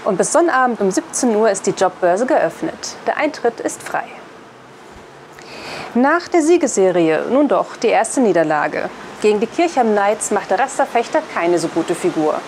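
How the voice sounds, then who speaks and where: A young woman presents the news in a scripted style. Her pitch is average for a woman. There is clear background noise under her voice. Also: very animated, normal speed, darkish tone. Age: young adult.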